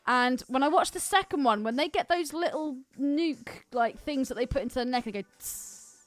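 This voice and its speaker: Animated, high-voiced woman chatting casually. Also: normal speed, no echo. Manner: informal, slangy, candid. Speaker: podcast co-host. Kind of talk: casual film chat.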